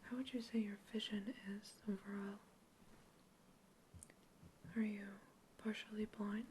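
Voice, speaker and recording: A woman voicing a curious female alien scientist who is examining a captive human. She whispers softly throughout the ASMR roleplay and asks clinical, matter-of-fact questions. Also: mid-range voice, level tone, unhurried, a little hiss or hum, close to an everyday voice. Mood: calm curious. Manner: soft unvoiced whispering throughout.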